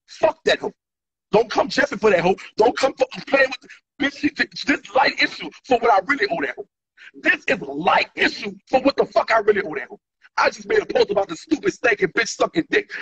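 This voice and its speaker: Angry high-voiced man. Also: breathy edge. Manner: angry, profane, repetitive, gesturing wildly. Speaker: rapper ranting to followers. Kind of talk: heated selfie-video rant. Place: indoor room. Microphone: phone at arm's length. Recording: dull lo-fi phone audio.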